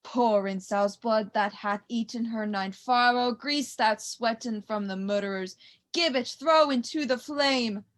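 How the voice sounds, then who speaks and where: A young woman playing an ominous witch in a Shakespearean radio play, speaking in a deliberate, chanting manner. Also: fairly high pitch, close to an everyday voice. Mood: sinister chanting. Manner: incantatory, deliberate. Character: eerie, ominous witch chanting over cauldron. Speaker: student performer.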